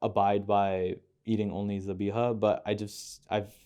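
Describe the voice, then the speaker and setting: Smooth-voiced man asking winding questions. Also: fairly low pitch, flat delivery, moderate pace, warm tone. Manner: thoughtful, asks long, winding questions with self-corrections. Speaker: podcast host. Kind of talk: casual conversational interview.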